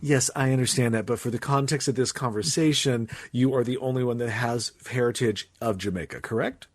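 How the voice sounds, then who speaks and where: A man's voice: mid-range voice, brisk, dry recording. A man co-hosting a podcast, chatting and joking with his friends. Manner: animated, joking, steering the talk. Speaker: podcast co-host. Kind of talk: casual chat on a live stream.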